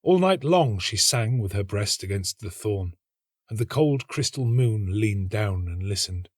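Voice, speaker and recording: Husky, expressive male storyteller. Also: on the low side, measured pace, dry recording, studio quality. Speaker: story reader, actor. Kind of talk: literary storybook narration.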